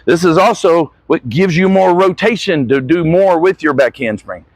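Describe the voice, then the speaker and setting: A man's voice: on the high side, expressive, moderate pace, no echo. A man coaching gymnastics, giving hands-on instruction with short encouraging corrections as he demonstrates. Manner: encouraging, corrective asides to athlete.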